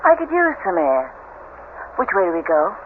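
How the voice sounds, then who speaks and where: An actress playing a naive, uneasy young bride in a 1970s radio mystery, with an earnest, hesitant, dramatic delivery. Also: female voice, lowish voice, huge pitch swings, moderate pace. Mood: curious, breathless. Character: naive young new bride, uneasy in the first wife's shadow. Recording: noisy, tinny old radio broadcast.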